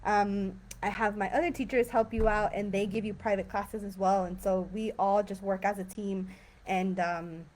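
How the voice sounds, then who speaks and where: A woman's voice: mid-range voice, moderate pace, rough recording. A young woman from California teaching English, talking casually on a live stream with many fillers. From San Diego and Tijuana. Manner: chatty, relaxed, many fillers.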